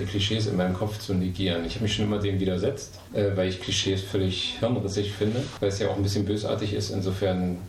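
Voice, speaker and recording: Deep gravelly man, distant and roomy. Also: darkish tone, slight background noise. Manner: articulate, lecturing, with hesitations. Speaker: interviewee. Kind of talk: vox-pop interview answer.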